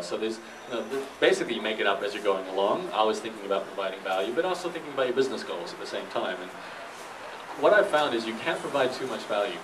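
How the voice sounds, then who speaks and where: Lowish guy explaining stuff at a live q&a, mic kinda far away, noisy room. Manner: explanatory, repeats questions before answering. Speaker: guest speaker, internet entrepreneur and blogger. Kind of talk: informal talk answering audience questions. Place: live talk in a room with an audience.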